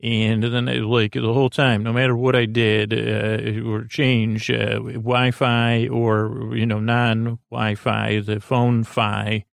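A man with a creaky voice hosts a sleep podcast, meandering through a low-key, self-deprecating bedtime monologue with hesitant, self-interrupted sentences. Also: no room sound, clean studio sound.

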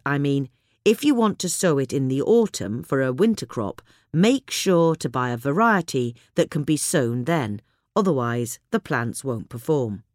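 A woman reading a gardening magazine article aloud in a measured, clear way. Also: low voice, no room sound, clean studio sound. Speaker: reader. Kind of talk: scripted narration of a gardening article.

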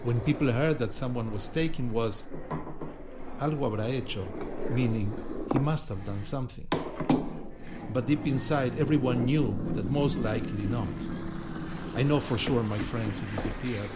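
A man explaining things reflectively in a discussion, measured and emphatic. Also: mid-range voice. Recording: clear background ambient noise.

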